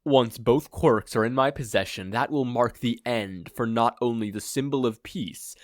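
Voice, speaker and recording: A man's voice: mid-range voice, normal speed, no room sound, clean studio sound, natural voice. A man voicing a sinister, self-absorbed male supervillain who monologues in a pompous, theatrical way, in a story reading. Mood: gloating, grandiose.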